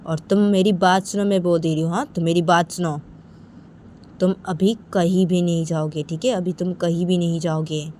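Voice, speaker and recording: A woman's voice: on the low side, fairly even intonation, normal speed, mellow, slight background noise, natural voice. A woman playing a defiant, commanding wife who confronts her husband in an insistent, repetitive, bossy way.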